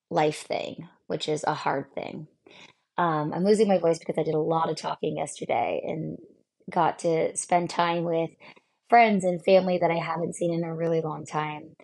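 Gravelly-voiced woman therapist, losing her voice. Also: on the low side, darkish tone. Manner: reflective, halting, many fillers. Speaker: therapist and podcast host. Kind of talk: solo podcast talk.

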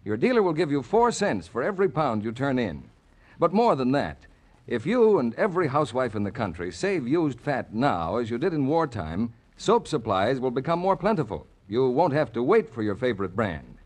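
A man's voice: mid-range voice, very animated, normal speed. A man announcing for a 1940s radio program with polished, emphatic, scripted delivery. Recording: 1940s radio broadcast with music.

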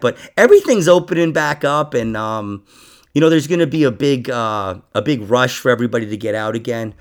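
Lively, gravelly-voiced male podcast host. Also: medium pitch. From New York. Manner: joking, rambling, lively. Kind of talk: casual podcast chat.

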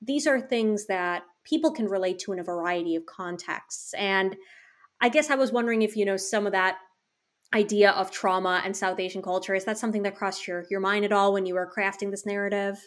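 A woman's voice: medium pitch, brisk. A woman with a mid-range voice hosts a book interview. She talks in a casual, eager flow, full of "like" and small restarts, before building up to long questions. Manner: chatty, enthusiastic, many "like" fillers. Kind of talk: interview conversation.

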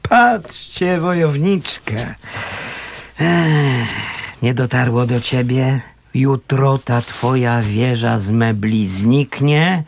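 An actor playing a scrappy but kindly homeless man in a radio play, colloquial, wry, gruff and gentle. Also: medium pitch, very slow, full character voice. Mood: teasing, reassuring.

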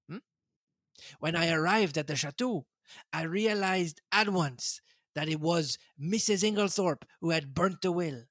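A man's voice: a little high, a bit bright, no room sound, pitched above the performer's own voice. Man playing fussy old detective, put-on French accent, coaxing hmms, dry sound. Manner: coaxing, ends lines with a questioning hmm. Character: fussy elderly male Belgian detective.

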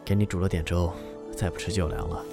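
Deep-voiced, composed boss over loud music. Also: male voice, little pitch movement, fast, vocal fry. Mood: quietly caring. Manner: terse, understated, composed. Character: Gu Xu, composed, terse male boss, quietly caring. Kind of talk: Chinese audio drama acting.

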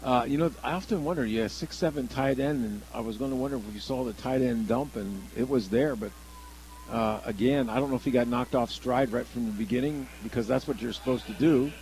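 An American man giving conversational color commentary on a football game, halting and repeating words as he thinks aloud. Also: medium pitch, a little mellow, low-quality recording. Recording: clear background noise on live broadcast.

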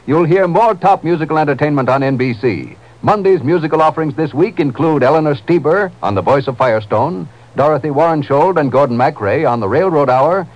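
A male 1950s radio announcer reads network promotions. His fairly high voice is very animated in pitch, with polished, emphatic delivery. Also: rough recording. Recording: vintage radio broadcast.

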